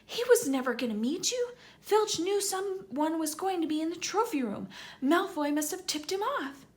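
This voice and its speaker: A woman voicing a bossy, clever schoolgirl who talks in a brisk, scolding way. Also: very high voice, animated, voice pushed up.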